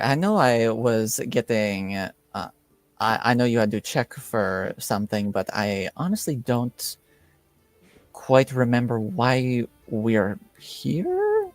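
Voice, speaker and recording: Game master as a befuddled, halting noblewoman. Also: medium pitch, no echo. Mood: confused, apologetic. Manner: halting, apologetic. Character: befuddled, polite noblewoman with a fogged memory.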